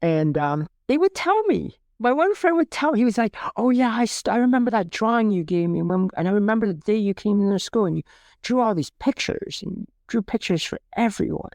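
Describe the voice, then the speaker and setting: A man's voice: high-pitched, expressive, fairly quick, darkish tone, no echo, clean studio sound. A middle-aged man from Vietnam who grew up in Canada, telling stories casually and informally as a podcast guest, rambling and acting out quoted remarks. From born in Vietnam, immigrated to Canada at ten. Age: middle-aged or older. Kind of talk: casual, reflective storytelling interview.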